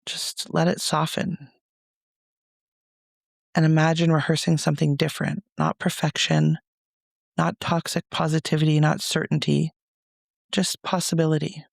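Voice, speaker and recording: A man leading a guided meditation as a reflective monologue, speaking in a soothing, measured, gentle way with pauses. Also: on the high side, little pitch movement, vocal fry, no room sound.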